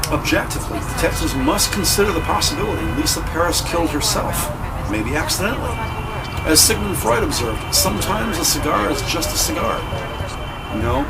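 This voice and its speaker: A man delivering deliberate, dramatic scripted narration for a police procedural. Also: sits high, distant mic, very noisy.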